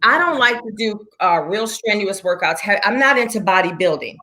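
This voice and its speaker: An upbeat woman in her late forties talks in a casual, chatty way about her work as a fitness instructor. She comes back to her points again and again for emphasis, and her pitch sits in a mid range. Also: expressive, normal speed, sharp tone. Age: 40s. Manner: enthusiastic, chatty, repeats herself for emphasis. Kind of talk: casual chat.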